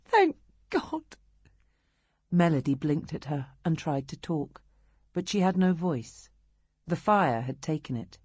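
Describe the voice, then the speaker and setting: A woman with a British accent narrates a novel in a low register. Her delivery is measured and expressive, shaped for storytelling, and the sound is dry and free of room echo. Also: breathy edge. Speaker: audiobook narrator. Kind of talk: scripted literary narration.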